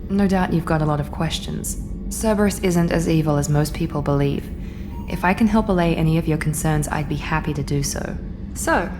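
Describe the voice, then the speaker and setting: A woman playing a composed agent over clear music. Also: sits low, fairly quick, close to an everyday voice. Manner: formal, composed, precise. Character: poised, businesslike woman agent, coolly loyal. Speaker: secret agent and ship's officer. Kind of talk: video game character dialogue.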